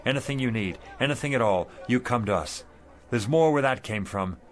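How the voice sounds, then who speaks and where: A man acting an excitable, generous married pawnshop owner in a radio drama, speaking in a folksy, expressive way. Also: medium pitch, fairly quick, soft background music, close to an everyday voice. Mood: kindly.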